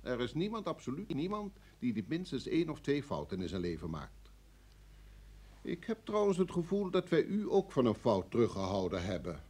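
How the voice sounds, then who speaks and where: Coolly coercive official, swooping intonation. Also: male voice, medium pitch, huge pitch swings, darkish tone. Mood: smooth, controlled. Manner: formal, smoothly insistent, measured. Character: cool, coercive male security service official. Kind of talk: radio play acting.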